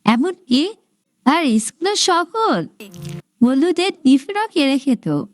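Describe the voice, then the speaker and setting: High-pitched, lively woman telling children's stories. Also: slow. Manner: expressive storybook delivery. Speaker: storyteller. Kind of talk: scripted children's story narration.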